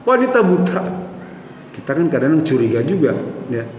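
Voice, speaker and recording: High-voiced preacher in an echoey hall. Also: male voice, sing-song, normal speed, darkish tone, a little hiss or hum. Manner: addresses the audience as "Pak", tells stories with acted quotes. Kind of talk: Islamic sermon storytelling. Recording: echoey hall with faint ambience.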